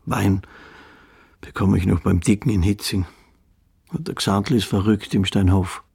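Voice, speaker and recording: A ruined Austrian count who mocks his own downfall. His low voice is gravelly and husky. He declaims with bitter irony, in the manner of a literary radio play. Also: a little slow, darkish tone, exaggerated voice. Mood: wry, self-mocking. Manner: sardonic, declamatory. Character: ruined, bitter, sardonic aristocratic count, theatrically self-mocking.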